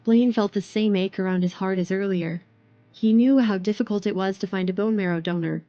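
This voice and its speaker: A woman's voice: medium pitch, fairly quick, warm tone, highs cut off. A woman giving scripted, dramatic narration of a story.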